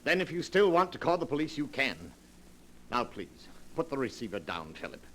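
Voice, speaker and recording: Scheming gentleman killer, polite, theatrical, swooping intonation, 1940s radio play, faint background noise. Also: medium pitch, big pitch swings, normal speed. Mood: falsely genial. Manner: smooth, polite, calculating, theatrical. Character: suave, cold-blooded scheming male murderer. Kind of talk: 1940s radio drama acting. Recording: noisy 1940s radio broadcast recording.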